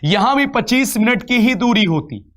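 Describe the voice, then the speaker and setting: High-pitched male teacher. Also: expressive, moderate pace, fairly bright tone. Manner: repeats phrases, asks rhetorical questions. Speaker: reasoning teacher for exam coaching. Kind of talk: live online lecture, explaining step by step.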